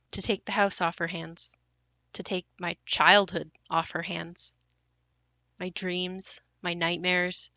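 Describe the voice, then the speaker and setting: A bright, forward female voice in a very dry recording, an actress playing a grown daughter haunted by the home she left. She speaks plainly and reflectively, in the naturalistic style of an audio play. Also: on the low side, no echo. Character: wistful, haunted grown daughter revisiting her ruined childhood home.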